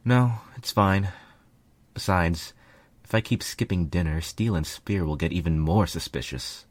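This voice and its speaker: A man's voice: low-pitched, unhurried, slightly rough, no echo, studio quality. A man voicing a weary, dutiful male pegasus royal guard, earnest in tone, in a story reading. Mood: wry, friendly. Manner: sighing, earnest. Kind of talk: acted dialogue in a story reading.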